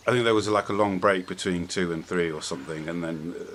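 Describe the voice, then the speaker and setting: A man with a notably deep voice hosts a relaxed, casual discussion. He rambles tentatively, working out his thoughts aloud. Background noise is audible in the recording. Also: quick pace. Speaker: podcast host. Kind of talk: casual film and game chat.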